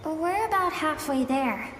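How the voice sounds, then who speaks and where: An actress playing a composed, knowing female guide in a video game, speaking in a measured, theatrical way. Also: sits high, animated, noisy background. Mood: grave, composed. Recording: echoing hall reverb over music.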